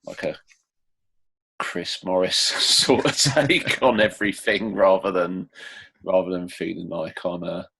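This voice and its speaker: Young man, very deep pitch, husky voice, casual interview chat, self-deprecating. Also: fairly even intonation, unhurried, bright, forceful tone. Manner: self-deprecating, laughs between phrases. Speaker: musician being interviewed.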